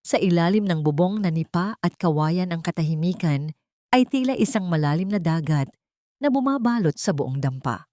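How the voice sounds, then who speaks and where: A woman with a Filipino accent narrating an emotional scripted story in a measured, literary storytelling manner. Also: on the low side, animated, mellow, dry recording, clean studio sound.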